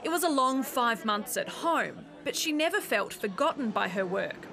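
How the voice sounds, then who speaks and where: A woman's voice: on the high side, expressive, normal speed, creaky, crowd noise. An Australian woman reporter narrating a scripted television news story.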